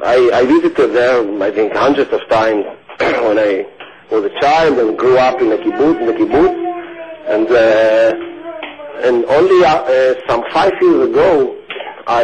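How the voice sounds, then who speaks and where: A man, answering radio interview questions in a thoughtful, halting way. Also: medium pitch. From Israel. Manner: thoughtful, halting, searching for words. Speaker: guest. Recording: dull lo-fi radio sound.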